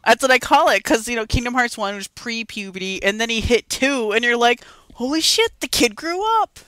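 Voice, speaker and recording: Giggly young woman, smooth clear voice. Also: fairly high pitch, a little fast, no room sound. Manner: giggly, animated storyteller. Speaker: podcast co-host. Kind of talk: casual chat about video games.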